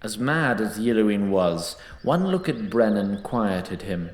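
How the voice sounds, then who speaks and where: A man narrating a fantasy story in a dramatic, deliberate, scripted storytelling style. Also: on the low side, lively intonation, roomy sound.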